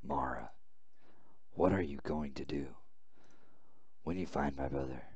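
A man reading a visual novel aloud as a weary, confused young male prisoner, subdued and halting. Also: a bass voice, a little mellow, breathy edge, no room sound, highs cut off, pitched below the performer's own voice, full character voice. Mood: questioning, wary.

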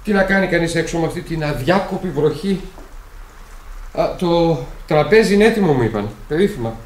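An actor playing a restless young son in classic radio theatre, in an echoing room. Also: male voice, fairly high pitch, animated, lightly acted. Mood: casual, restless.

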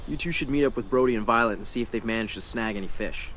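A man's voice: lowish voice, fast talker, a bit bright, a little hiss or hum, lightly acted. A man playing a serious, practical teenage boy in a survival game, speaking tersely and reproachfully. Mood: stern, resigned. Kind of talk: video game voice acting.

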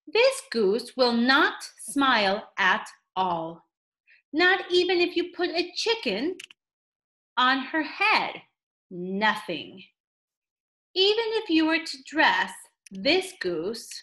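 A woman's voice: a little high, pushed and bright. A woman reading a picture book aloud in a theatrical storytelling style, addressing a child listener with dramatic emphasis.

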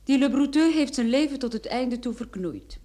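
A woman playing an inquisitive character pondering a murder case in an old Dutch radio play, questioning and expressive. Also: high-pitched, moderate pace, over music. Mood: pensive, questioning.

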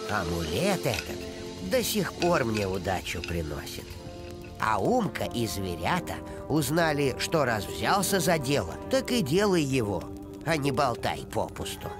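A man playing a kindly old grandfather who tells a children's tale in a cartoon, folksy and gently moralizing. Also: mid-range voice, measured pace. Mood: wise, moralizing. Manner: folksy, expressive. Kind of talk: animated fairy-tale narration for children. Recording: music behind the voice.

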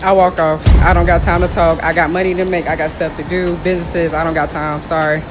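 A woman's voice: deep voice, flat delivery, a little fast. Self-assured young woman from North Carolina. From Kannapolis, North Carolina. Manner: self-assured, blunt, brief. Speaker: interviewee. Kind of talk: casual answers. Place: outside a store entrance. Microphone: interviewer's handheld phone. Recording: background music under voices.

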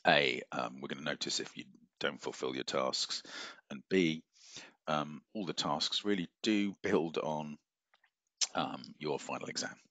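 A man's voice: rumbling low, unhurried, fairly bright tone, no room sound. A middle-aged man giving a recorded university lecture from his office over a webcam, rambling with many fillers and restarts. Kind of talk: recorded lecture walking through a syllabus. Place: office at a desk, screen-sharing.

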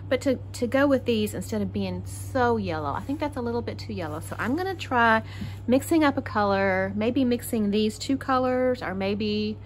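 A woman's voice: a little high, expressive, normal speed, a little hiss or hum. A woman from Texas hosting a casual crafting tutorial, chatty and thinking aloud as she works. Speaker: craft channel host.

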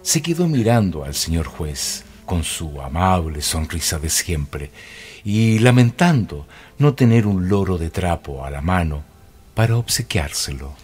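A man narrating a scripted radio drama as a measured storyteller. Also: low-pitched, sing-song, darkish tone, breathy edge, exaggerated voice. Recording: music behind the voice.

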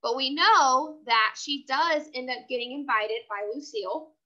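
A woman teacher reading a children's chapter book aloud in an expressive, instructional way. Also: sits high, unhurried, lo-fi sound. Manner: expressive, instructional, checking in with listeners.

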